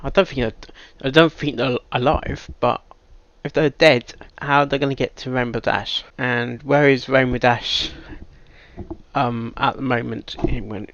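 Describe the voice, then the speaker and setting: A man giving casual reaction commentary, halting and thinking aloud, with sudden louder bursts of emphasis. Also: mid-range voice, steady tone, dull audio. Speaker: reaction video host.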